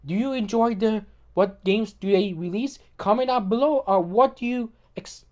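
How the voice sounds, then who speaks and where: A woman hosting casual gaming commentary, opinionated, often repeating and restarting words, her voice getting hoarse. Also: mid-range voice, moderate pace, a little mellow, dull audio. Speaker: gaming video host.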